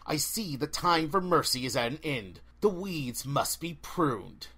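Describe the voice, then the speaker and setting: A man voicing a haughty, merciless female forest spirit, speaking in slow, disdainful pronouncements in a fantasy storybook. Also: a little high, animated, bright, forceful tone, husky, exaggerated voice. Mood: menacing.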